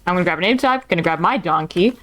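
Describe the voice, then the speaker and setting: A woman's voice: fairly low pitch, animated, fast talker, a bit bright. A woman chatting casually and eagerly as a guest player in a game. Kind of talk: casual chat during a game.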